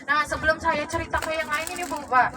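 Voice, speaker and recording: A woman's voice: high-pitched, fairly even intonation, fast. A young woman from Palembang working as a tour guide, chatting with a pilgrim group on a moving bus and often quizzing them and checking they follow. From Palembang, Indonesia. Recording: distant voice over bus and crowd noise. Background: passengers chatting and road noise.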